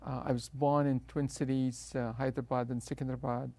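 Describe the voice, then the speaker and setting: Indian man with a gravelly, hesitant voice. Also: medium pitch, flat delivery, fairly quick, dark tone, no room sound. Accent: South Indian. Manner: hesitant, many uh fillers and restarts. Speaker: guest. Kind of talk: interview, telling his life story.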